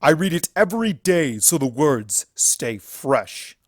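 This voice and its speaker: A narrator voicing an angry, deluded male alicorn stallion in a story reading, shouting and incredulous. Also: medium pitch, sing-song, takes it slowly, no room sound, studio quality, pitched above the performer's own voice. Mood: defiant fury.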